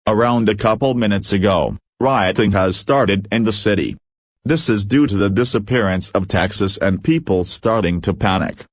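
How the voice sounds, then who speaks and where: A man with a fairly low voice reads a scripted emergency alert as its announcer. The recording is very dry, with no room sound. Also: no room sound.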